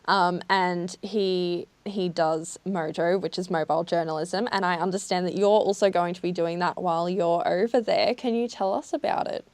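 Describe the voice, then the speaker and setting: A woman's voice: lowish voice, fairly even intonation, normal speed, hardly any pauses, darkish tone, no echo. A young woman hosting a casual studio chat, enthusiastic and full of little restarts. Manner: enthusiastic, with many fillers and restarts. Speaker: host.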